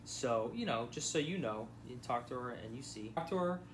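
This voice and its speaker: A man's voice: medium pitch, lively intonation, normal speed. A man speaking as a personal injury lawyer, giving casual, blunt advice with repeated phrases and restarts. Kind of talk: casual phone advice to a client. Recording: clear background noise.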